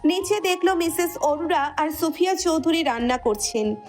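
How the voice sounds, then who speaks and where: A woman's voice: extremely high pitch, animated, normal speed, darkish tone, soft background music. A woman with a Bangladeshi accent narrating a scripted romantic audio story.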